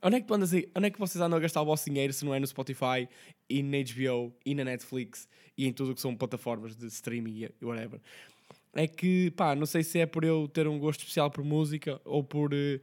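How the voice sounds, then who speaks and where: A hoarse young man with a Portuguese accent, hosting his podcast as a rambling, slang-heavy casual chat. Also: on the high side, a little mellow. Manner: slangy, rambling, quick talk with many fillers. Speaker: podcast host.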